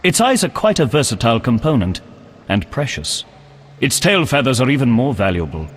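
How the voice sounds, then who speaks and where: An actor playing an earnest, pious knight in a video game, speaking in a formal, courteous and solemn manner. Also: male voice, medium pitch, big pitch swings, moderate pace, slight background noise, close to an everyday voice. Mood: instructive, earnest. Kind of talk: video game character acting. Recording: long echoing reverb.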